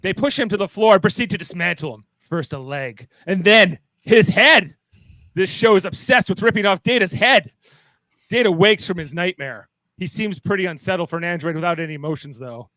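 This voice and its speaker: A man's voice: high-pitched, brisk, bright, forceful tone, no echo, low-quality recording. A man hosting and narrating a live amateur radio play in an informal, playful way. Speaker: host and narrator.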